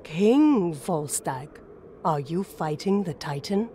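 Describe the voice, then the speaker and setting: An actress playing a serious, vigilant warrior companion in a video game cutscene. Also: female voice, on the low side, expressive, takes it slowly, background noise. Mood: serious, questioning.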